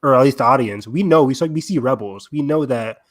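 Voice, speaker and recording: A man's voice: medium pitch, brisk. Enthusiastic man chatting on a fan podcast. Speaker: podcast host. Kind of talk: casual fan discussion.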